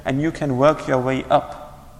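A man's voice: medium pitch, little pitch movement, a little slow, in a large hall. A man giving a religious lecture, explaining step by step, asking and answering his own questions and repeating key phrases. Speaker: Islamic teacher.

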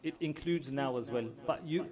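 Earnest imam addressing a mosque crowd. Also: male voice, on the high side, normal speed. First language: not Urdu. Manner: earnest, repeats phrases for emphasis. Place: large mosque hall with congregation. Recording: crowd audible behind.

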